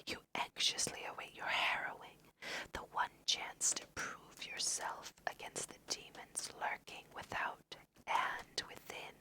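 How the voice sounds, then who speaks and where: A woman whispering softly and unhurriedly in an ASMR style as she guides listeners through a video game, mixing read-aloud text with casual asides. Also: low-quality recording. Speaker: host of a video game let's play.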